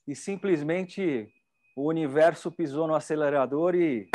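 A middle-aged Brazilian man hosts from a studio stage on a lapel mic. His voice sits a little high, and he talks in a chatty, enthusiastic way. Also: unhurried, darkish tone. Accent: Brazilian Portuguese. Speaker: event host. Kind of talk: casual hosting chat.

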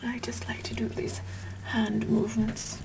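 A vain, imperious queen acted out by a woman in an ASMR roleplay, slipping between breathy whispers and soft speech. She talks very slowly in a fairly high voice, repeating words with sassy condescension. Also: completely flat delivery, poor audio. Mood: self-satisfied.

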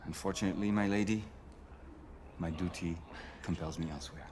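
An actor playing a gallant, flirtatious French gentleman knight in a video game, with a French accent. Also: deep voice, fairly even intonation, brisk, a little hiss or hum, natural voice. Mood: smooth gallantry. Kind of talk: video game cutscene acting.

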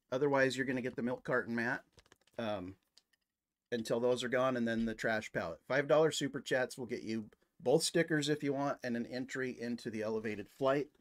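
A middle-aged man talks as a livestream host. His voice sits at an ordinary pitch, and his delivery is casual and conversational, like chat with regular viewers. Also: a bit bright. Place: indoor room with decorated wall.

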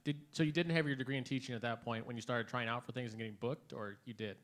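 A man in his thirties runs a convention panel. He keeps things brisk and drops dry quips at an ordinary middle pitch into a handheld mic, and the big hall carries his voice. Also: fairly even intonation, a bit bright. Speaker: panel moderator. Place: convention panel room, large indoor hall. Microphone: handheld microphone.

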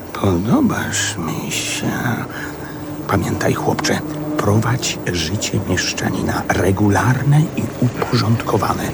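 An actor playing a grandiose great writer in a radio play, theatrical and lecturing in his delivery. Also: on the low side. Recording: street ambience with vehicle noise.

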